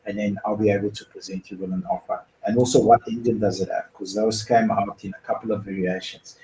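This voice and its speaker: A man's voice: fairly low pitch, level tone, fairly quick, warm tone, slight background noise. A man, originally from Bulgaria, hosting a car dealer's viewer Q&A and explaining answers step by step in casual advice talk. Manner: conversational, explains step by step with fillers. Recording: roomy echo with faint ambience.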